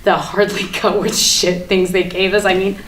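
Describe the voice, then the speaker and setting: A woman's voice: mid-range voice, flat delivery, normal speed, lightly acted. A woman acting a bitter, manic, sardonic character in a theatrical dramatic monologue at a staged reading. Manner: theatrical, emphatic, repetitive, sardonic, swinging from hushed to ranting. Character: bitter, manic woman confessing her war on a Barbie doll. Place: indoor room, live staged reading. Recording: echoey room with background hiss.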